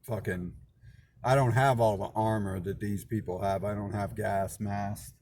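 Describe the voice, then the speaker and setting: A man's voice: fairly low pitch, steady tone, unhurried, darkish tone, slight background noise. A man hosting his own channel, talking in a loose rambling monologue, swearing often, restarting and repeating words, indignant and suspicious. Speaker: channel host.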